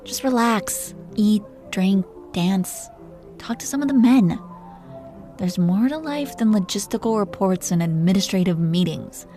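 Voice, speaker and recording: A woman's voice: medium pitch, lively intonation, a little slow, mellow, soft background music, close to an everyday voice. A woman playing a commanding, playful, possessive dragon queen in an intimate roleplay audio drama. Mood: playful, warm. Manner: imperious, playful, teasing.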